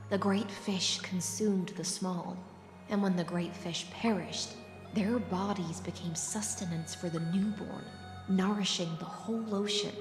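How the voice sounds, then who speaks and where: A woman playing a composed, ominous noblewoman from the sea in a game cutscene, speaking in a measured, formal, cryptic way. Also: on the low side, close to an everyday voice. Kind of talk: dramatic game cutscene acting. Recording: echoey reverberant hall sound. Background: music.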